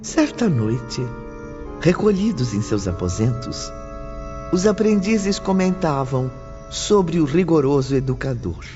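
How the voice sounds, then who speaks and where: A man reading scripted audiobook narration in the third person, deliberate, solemn and formal. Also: a little high, very animated. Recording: dull lo-fi with music bed. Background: faint background music.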